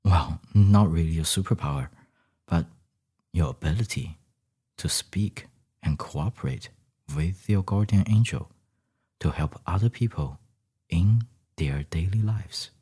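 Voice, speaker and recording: A man narrating a scripted story in a gentle ASMR storytelling style, with deliberate phrasing and a breathy, husky voice that often drops toward a whisper. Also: sits low. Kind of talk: scripted second-person bedtime storytelling, ASMR.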